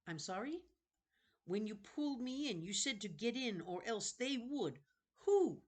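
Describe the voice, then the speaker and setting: A woman's voice: a little high, sing-song, a little slow, a little mellow, voice pushed up. A woman voicing an uneasy, polite boy in a children's storybook, speaking hesitantly. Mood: confused. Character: Jonathan, uneasy, reluctant boy. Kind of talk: children's novel read aloud.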